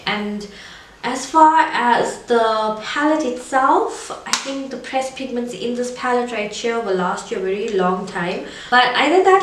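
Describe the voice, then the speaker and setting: A woman with a cold and a scratchy, congested voice, chatting casually through a makeup review in a rambling way. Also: medium pitch. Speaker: beauty vlogger. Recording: echoey room, far from mic, faint noise.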